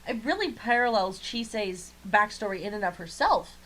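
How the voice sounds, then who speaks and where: A woman's voice: medium pitch, animated, normal speed, a bit bright, slight background noise. A woman co-hosting a podcast in casual chat, with a blunt, joking manner and a habit of swearing. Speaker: podcast co-host. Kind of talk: casual chat reviewing an anime.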